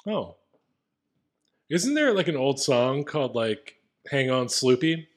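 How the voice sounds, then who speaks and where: A man's voice: medium pitch, sing-song, takes it slowly. A man co-hosting a podcast in casual, joking banter that can turn profane. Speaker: podcast co-host.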